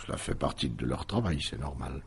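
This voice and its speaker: An actor playing a defensive, evasive middle-aged husband in a French radio mystery drama, hesitant and self-justifying. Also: male voice, a bass voice, expressive, fairly quick, gravelly, heavily acted. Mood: calm, dismissive. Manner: hesitant, self-justifying, emphatic when challenged. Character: evasive, defensive husband suspected in his wife's disappearance.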